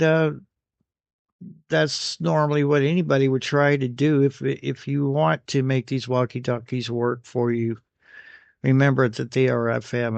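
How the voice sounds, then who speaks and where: Gravelly American man giving a halting review. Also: medium pitch, dry recording. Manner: halting, pausing between phrases. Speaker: radio gear reviewer. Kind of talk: unscripted product review voice-over.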